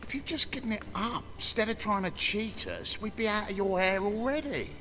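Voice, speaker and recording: A man's voice: high voice, lively intonation, normal speed, bright, forceful tone, slight background noise. An actor playing a sneering male thug in a fantasy video game, theatrical and gleefully menacing, with a mocking sing-song delivery. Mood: taunting menace.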